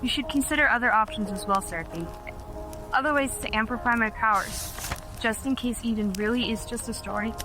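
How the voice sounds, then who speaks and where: An actress playing an earnest, self-doubting young heroine in an audio drama. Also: female voice, a little high, brisk, over music, natural voice, low-quality recording. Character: earnest young woman with powers, self-doubting but hopeful.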